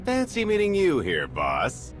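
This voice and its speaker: An actor playing an easygoing, shrewd smuggler in a game, giving casual, assured, streetwise advice. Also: male voice, fairly high pitch, wildly swooping pitch, unhurried. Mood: sly, friendly. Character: easygoing, shrewd smuggler advising his boss. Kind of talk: scripted game briefing dialogue. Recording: music under the voice.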